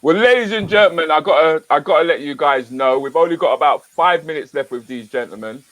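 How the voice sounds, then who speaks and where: A man in his fifties hosting a chat show, talking in a relaxed, conversational way and often asking "you know what I mean?". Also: fairly high pitch, fairly quick, no room sound. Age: 50s. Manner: relaxed, conversational, rhetorical questions. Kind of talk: casual chat.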